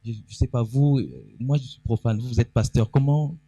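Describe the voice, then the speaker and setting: Male co-host, teasing banter, mid pitch, dry mic. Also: normal speed, warm tone, dry recording, soft background music. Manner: joking, teasing. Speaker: co-moderator. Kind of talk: playful stage banter.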